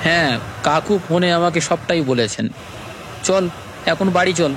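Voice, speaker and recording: A man's voice: on the high side, a little slow, natural voice. A man voicing an eager, familiar young friend in a cartoon story. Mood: concerned, urging. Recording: noisy background ambience.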